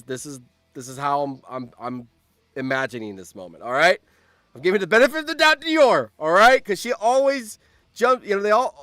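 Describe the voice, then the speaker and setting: A man hosting a live stream, reading out game events and reacting in an animated, exclamatory way with repeated phrases. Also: mid-range voice, dry recording.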